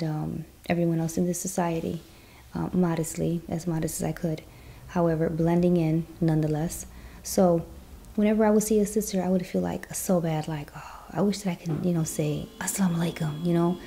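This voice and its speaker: Low gravelly-voiced woman chatting. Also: mellow. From Chicago, US Midwest. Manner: chatty, many fillers like "you know". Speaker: vlogger. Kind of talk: personal story vlog.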